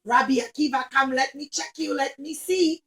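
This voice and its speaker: A woman voicing a careful male doctor who speaks slowly and deliberately. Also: sits high, steady tone. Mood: concerned.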